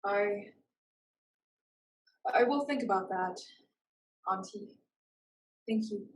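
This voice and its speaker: A woman playing a young survivor burdened by shame, speaking earnestly and haltingly in a staged play reading. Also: mid-range voice, a little hiss or hum, natural voice, rough recording. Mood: hesitant.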